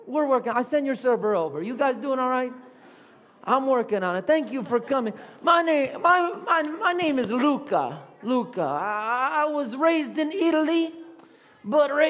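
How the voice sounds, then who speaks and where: Comic skit role: man playing a harried Bronx pizzeria owner, high-pitched, rambling, heavy New York accent. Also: a bit bright. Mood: boastful. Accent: put-on New York Bronx. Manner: harried, rambling, pushy with the crowd. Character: frantic male Italian-born Bronx pizzeria owner. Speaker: skit performer. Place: church sanctuary. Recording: long church reverb.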